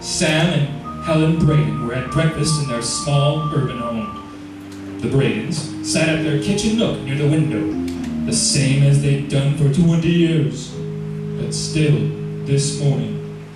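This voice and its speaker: Man playing a slow, ghoulish radio horror host. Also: mid-range voice, natural voice. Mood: somber, ominous. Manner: theatrical, drawn-out, macabre joking. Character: ghoulish, punning male host of a creaking-door horror show. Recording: echoey, distant old radio recording, music behind.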